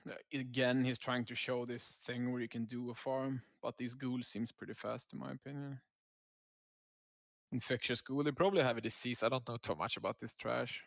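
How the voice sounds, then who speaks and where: A man's voice: mid-range voice, flat delivery, normal speed, creaky, a bit bright, dry recording. A man giving casual, laid-back gameplay commentary as a raid leader, explaining tactics as he goes.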